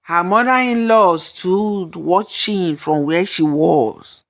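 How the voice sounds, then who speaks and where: Female storyteller for folktale narration, reading at a markedly slow, measured pace. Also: lowish voice.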